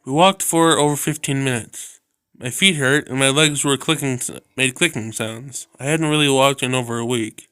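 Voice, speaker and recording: A man reading a visual novel aloud, telling the story in a subdued, steady way. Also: mid-range voice, normal speed, clear, resonant tone, dry recording, clean studio sound. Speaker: video game narrator.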